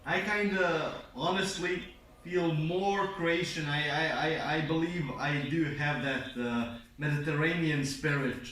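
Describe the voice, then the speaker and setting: A young man with a Serbian accent chatting casually in a hesitant, measured way. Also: fairly high pitch, fairly even intonation, a bit bright. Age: young adult. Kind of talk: casual chat. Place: art studio, away from the mic. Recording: roomy, distant, noisy studio sound.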